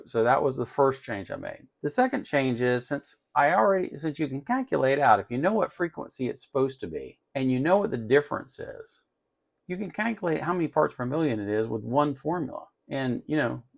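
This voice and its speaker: A man with noticeable vocal fry talks through a hands-on electronics tutorial. His delivery is casual and unscripted, going step by step and circling back to repeat himself as he works. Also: mid-range voice. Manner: explains step by step while working, repeating himself.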